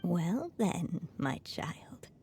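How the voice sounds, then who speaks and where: A woman playing a gentle, fading sage-witch mother in a fantasy game, speaking softly and solemnly like a storyteller. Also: on the low side, very animated, heavily acted. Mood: tender, solemn. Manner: slow, soft, breathy, solemn delivery. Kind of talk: dramatic game voice acting, storybook narration. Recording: music behind the voice.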